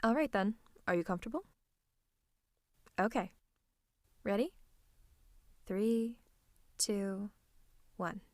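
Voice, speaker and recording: A woman's voice: medium pitch, natural voice. A woman playing a teasing, theatrical supervillain who is fond of her nemesis, in an intimate ASMR roleplay. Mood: teasing, caring. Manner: coaxing, drawn-out endearments and monologues.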